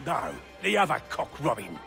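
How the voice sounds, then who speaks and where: An actor playing a taunting, belligerent ruffian in a game cutscene. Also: male voice, on the high side, sing-song, normal speed, vocal fry, over music. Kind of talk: video game cutscene acting.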